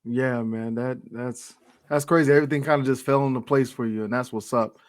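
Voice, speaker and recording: A man's voice: medium pitch, fairly even intonation, brisk, no room sound. Casual male interview host, dry sound. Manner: friendly, affirming with "right" and "yeah, man".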